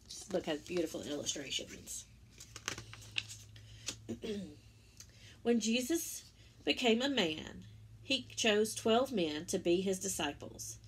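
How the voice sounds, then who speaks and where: A woman reading a children's religious picture book aloud as a story-time teacher, pausing for simple explanatory asides to young listeners. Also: mid-range voice, big pitch swings, a little hiss or hum, low-quality recording.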